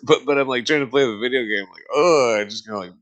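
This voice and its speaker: A middle-aged man rambling through casual stories in a halting, repetitive way, with slangy and profane phrasing. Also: medium pitch, fairly bright tone.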